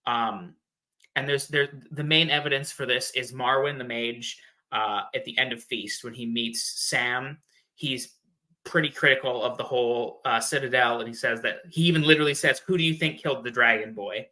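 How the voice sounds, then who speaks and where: Male guest for casual theory talk: average pitch, strikingly bright and forceful delivery, conversational phrasing. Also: normal speed. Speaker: guest theorist on a fan discussion show. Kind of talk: casual chat explaining fan theories.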